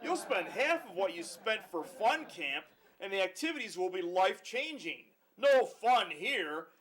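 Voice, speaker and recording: A man playing a cheery pitchman narrating a spoof advert for a miserable summer camp. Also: very animated, measured pace, a bit bright, slightly rough, full character voice. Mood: mock cheerful.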